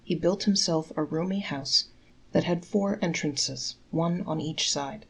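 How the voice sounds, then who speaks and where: Woman, storyteller, folktale read-aloud, fairly low pitch, scripted narration. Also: measured pace, gravelly, slightly rough, low-quality recording.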